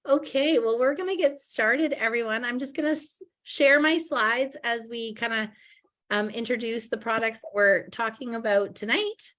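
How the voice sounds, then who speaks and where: Woman host, 30s, a little husky from a cold, chatty and upbeat, video call. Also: fairly high pitch, normal speed, fairly bright tone. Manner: chatty, upbeat, many ums and restarts. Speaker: host, direct sales presenter. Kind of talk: casual online product presentation. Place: at home, online video call.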